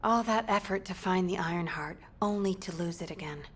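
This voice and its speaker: A woman voicing a composed operations officer who briefs soldiers in a formal, measured way for a video game. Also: on the low side, a bit bright. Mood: composed, serious. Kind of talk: scripted game dialogue, mission briefing.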